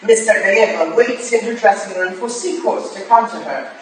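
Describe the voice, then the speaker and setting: A woman's voice: mid-range voice, moderate pace, crowd noise, natural voice. A woman playing a commanding, analytical Victorian lady detective in a staged play reading, recorded in an echoey hall. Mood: reconstructing events. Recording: echoey hall from far off, faint noise.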